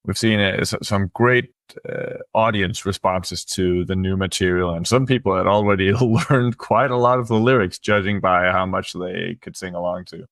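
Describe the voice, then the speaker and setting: A man in a band, answering interview questions in halting speech with many pauses. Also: sits low, fairly even intonation, a bit bright, dry recording. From Denmark. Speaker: band member.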